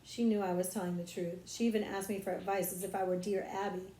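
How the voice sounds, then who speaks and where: A woman voices an earnest, knowing schoolgirl in a storybook reading. The delivery is precise and confiding, at a middle pitch, with audible room echo. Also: level tone, quick pace, dark tone, natural voice. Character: earnest, knowing young schoolgirl who relishes secrets. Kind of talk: audiobook character voice.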